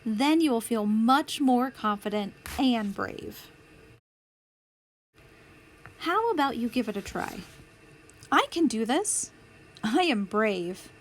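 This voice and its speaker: A woman reading a picture book aloud as a storytime host, with deliberate, clear phrasing for young children. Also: on the high side, expressive, faint music behind. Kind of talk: children's read-aloud narration.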